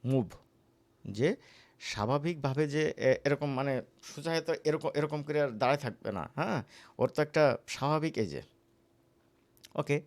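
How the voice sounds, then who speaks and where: A man with a Bangladeshi accent giving a casual, conversational software tutorial as an instructor, often checking in with the listener. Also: on the high side, normal speed, pauses often. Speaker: animation course instructor.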